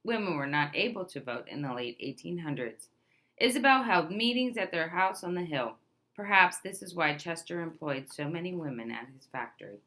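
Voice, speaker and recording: Bright-voiced woman reading a children's book. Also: on the low side, normal speed. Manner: expressive storytelling with emphatic stresses and questions to listeners. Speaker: read-aloud presenter. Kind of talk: children's picture book read aloud.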